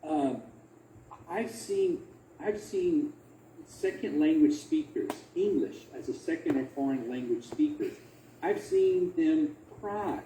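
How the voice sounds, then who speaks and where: A male researcher presents in a conversational but emphatic way, with very lively swings of pitch and an unhurried pace. He repeats phrases for effect and poses rhetorical questions. His voice is soft-edged and mellow. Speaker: academic researcher presenting a talk. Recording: roomy echo, faint background noise.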